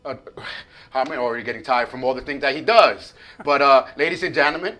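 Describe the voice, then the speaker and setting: A man's voice: mid-range voice, fairly quick, sharp tone. Easygoing male talk-show host. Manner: easygoing, laughs often, casual phrasing. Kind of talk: relaxed interview hosting.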